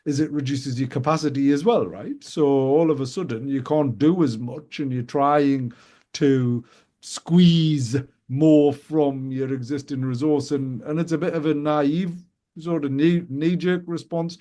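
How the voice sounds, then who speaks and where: A man hosts a live business show from a radio studio. His voice is mellow and warm, and he speaks in an animated way. He often restarts his sentences and throws quick questions to his guests. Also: on the high side.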